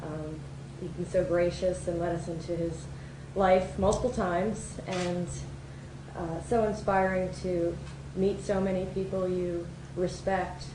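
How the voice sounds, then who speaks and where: A woman filmmaker giving informal thank-you remarks as a guest speaker, hesitant and pausing often. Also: on the low side, little pitch movement. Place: event hall before an audience. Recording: echoey room with background noise.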